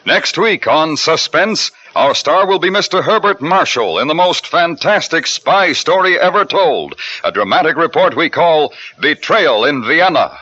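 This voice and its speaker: A man announcing a 1950s radio drama series with measured, stately delivery. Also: a little high, animated, bright, forceful tone. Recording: muffled old radio broadcast with music.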